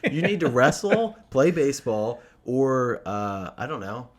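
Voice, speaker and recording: A man's voice: medium pitch, measured pace. A man hosting a comedy podcast, telling stories in casual banter and acting out the people he quotes. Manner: animated storytelling, acts out quoted dialogue.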